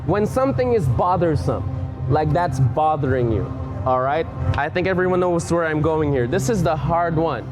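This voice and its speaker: A man's voice: a little high, animated, normal speed, music in the background, poor audio. A man with a Filipino accent, from the Philippines, playfully teaching local words on stage, coaching and laughing often. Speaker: language segment host.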